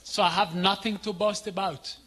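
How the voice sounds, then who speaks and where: A male guest pastor delivers a sermon in a high, forceful voice. He takes his time, speaking in short phrases and addressing the congregation directly. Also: fairly even intonation, unhurried.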